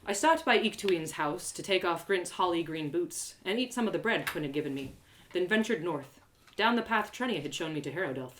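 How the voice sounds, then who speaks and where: A woman reading a first-person fantasy story aloud in an expressive, theatrical and lyrical way. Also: fairly low pitch, brisk, a little hiss or hum, rough recording.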